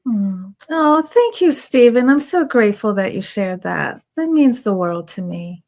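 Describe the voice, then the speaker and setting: A woman's voice: mid-range voice, lively intonation, a little slow, darkish tone, no echo, studio quality. A woman hosting an interview podcast, talking in a casual, conversational way. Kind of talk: casual chat.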